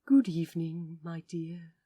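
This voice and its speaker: A woman voicing a gentle, forlorn man, an earnest and fussy aquarium guide with old-fashioned politeness, in an audiobook drama. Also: lowish voice, measured pace, slightly rough, voice pushed down. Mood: fond, polite. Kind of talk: audiobook character acting.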